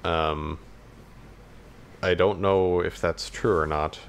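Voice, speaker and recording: Guy with a deep-ish low voice just chatting on a podcast, kinda halting, lots of you knows and likes. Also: fairly even intonation, vocal fry, clear, resonant tone. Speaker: podcast co-host. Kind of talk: casual chat.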